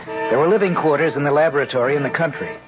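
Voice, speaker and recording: A man's voice: a little high, fast talker, natural voice. An actor playing an earnest, eager scientist in an old radio drama, articulate and formal in his delivery. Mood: grave narrating. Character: earnest, eager scientist assistant recounting events. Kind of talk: 1950s radio drama acting and narration. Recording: muffled old radio recording, loud music.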